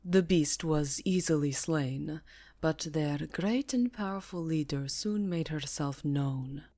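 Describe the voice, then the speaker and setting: Woman acting a low, silken, haughty vampire countess. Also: darkish tone, no room sound. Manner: poised, formal, theatrical diction. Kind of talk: ASMR roleplay acting.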